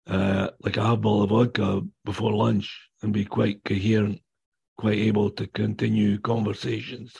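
An older man with a raspy voice from damaged vocal cords, telling his own story in a plain, halting way with many pauses and restarts. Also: on the low side, a little mellow. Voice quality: raspy, gravelly voice. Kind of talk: personal recovery testimony.

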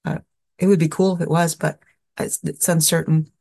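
A woman with a somewhat low voice tells a personal story slowly and thoughtfully. She often stops, repeats words and uses fillers as she searches for what to say. She grew up in the American Southwest. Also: little pitch movement, vocal fry, darkish tone. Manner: halting and reflective, many restarts and fillers. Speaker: speaker sharing her recovery story.

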